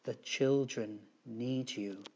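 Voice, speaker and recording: A man voicing a calm, quietly sympathetic man in a children's storybook, subdued and measured. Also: medium pitch, husky, muffled recording. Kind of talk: children's storybook character reading.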